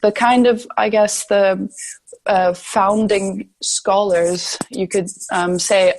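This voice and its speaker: A woman's voice: mid-range voice, a little mellow. Female, young adult, academic podcast guest. Mid-range voice, conversational, thoughtful, hesitant delivery. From Turkey, grew up in the Balkans. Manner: thoughtful, hesitant, searching for words. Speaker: podcast guest, sociology researcher. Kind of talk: conversational interview answers.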